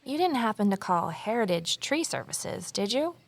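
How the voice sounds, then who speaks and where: An actress playing an anxious, determined amateur sleuth in an audio drama, earnest and introspective. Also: female voice, medium pitch, very animated, moderate pace, gravelly. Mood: probing, careful. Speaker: lead character and narrator. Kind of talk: naturalistic audio drama dialogue and narration.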